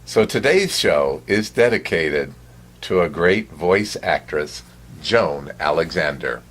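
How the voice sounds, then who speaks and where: A man hosting a show, informally presenting to viewers in a halting way, in short broken phrases. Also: sits low, animated, fairly bright tone. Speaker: show host.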